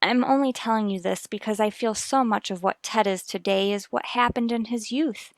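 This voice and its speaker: A woman's voice: mid-range voice, fairly even intonation, normal speed, no room sound, natural voice. Actress as a hesitant, worried mother, close and dry. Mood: troubled, confiding. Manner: hesitant, careful, confiding. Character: worried, earnest young mother confiding about her son. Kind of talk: vintage radio soap opera acting.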